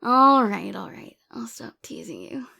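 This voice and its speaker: A woman's voice: mid-range voice, sing-song, measured pace, gravelly, pushed and bright, heavily acted. A woman playing a playful, teasing, affectionate girlfriend in a casual, intimate audio roleplay. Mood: teasing, amused.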